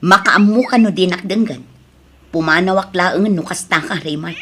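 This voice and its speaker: A woman's voice: fairly low pitch, normal speed, pushed and bright, slight background noise. A woman with an Ilocano accent playing a stern, fed-up, pious wife who scolds insistently in an audio drama.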